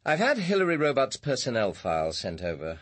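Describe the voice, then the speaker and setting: An actor playing a courteous, cool senior scientific director in a radio drama, with formal, precise phrasing. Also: male voice, mid-range voice, wildly swooping pitch, a little fast.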